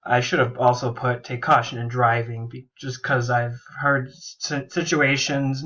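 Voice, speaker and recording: A young man, a music therapy student, gives a casual class presentation while recovering from a bad cold, often halting and restarting his sentences. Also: mid-range voice, a bit bright. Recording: dull lo-fi recording.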